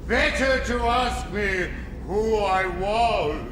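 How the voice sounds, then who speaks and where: Radio drama phantom: dead man's spirit, ominous, theatrical, high-pitched and echoing from far off. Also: slow pace. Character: mournful, menacing male ghost of dead business partner. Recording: echoing, distant, noisy with ambience.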